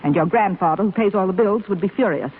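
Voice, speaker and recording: Stern, formal nurse female actor, rapid delivery, narrow tinny vintage radio sound. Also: mid-range voice, close to an everyday voice. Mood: stern, dry. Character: brisk, firm, no-nonsense female hospital nurse. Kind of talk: 1930s radio drama acting. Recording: scratchy, tinny 1930s radio broadcast recording.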